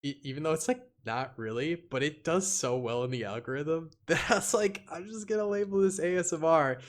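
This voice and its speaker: A man talking casually and informally as a podcast guest, a YouTuber who uses slang and halting phrasing. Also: a little high. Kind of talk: casual chat.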